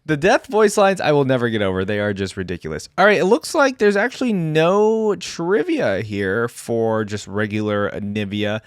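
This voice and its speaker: A man's voice: medium pitch, animated, moderate pace, few pauses, clean tone, dry recording, clean studio sound. A man giving casual reaction commentary as the host of an online video, talking informally to his viewers.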